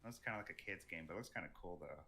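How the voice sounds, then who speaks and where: A man's voice: deep voice, racing, gravelly, sharp tone. A man talking casually as a podcast guest, telling informal anecdotes with some swearing. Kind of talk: casual chat about video games.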